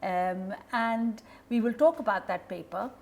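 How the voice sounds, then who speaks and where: A woman's voice: medium pitch, animated, unhurried, gravelly, darkish tone. A woman with an Indian accent hosting an interview in a chatty, informal, conversational way. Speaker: programme host and interviewer.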